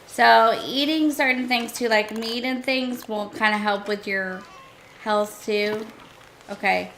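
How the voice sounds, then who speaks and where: Chatty woman streamer, a bit hoarse. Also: a little high, fairly even intonation, takes it slowly, a bit bright, slight background noise. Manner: chatty, thinking aloud, talks to chat. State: hoarse, losing her voice. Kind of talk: casual gameplay commentary.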